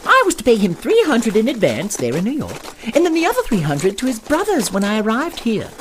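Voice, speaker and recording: Naive dandy fish, comic western audio serial: high register, very animated, prim wordy diction, audible background noise. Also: male voice, fairly quick. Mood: eager, earnest. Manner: wordy, formal, fussy diction. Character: eager, naive, well-spoken dandy fish from New York.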